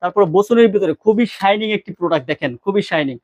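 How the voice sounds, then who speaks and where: Bangladeshi male shop presenter, high pitch, rapid informal sales delivery, dry close sound. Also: a little mellow, dry recording, muffled recording. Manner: salesman-like, repeats key words and prices. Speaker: shop seller presenting products. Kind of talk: informal product showcase with prices.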